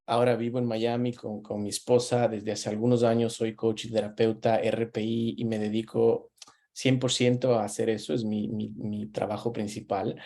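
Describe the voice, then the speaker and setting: A man in his thirties from Ecuador with an Ecuadorian Spanish accent, hosting a relaxed conversational interview and talking with easy pauses and restarts. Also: on the low side. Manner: relaxed, talks with frequent fillers and restarts. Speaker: host.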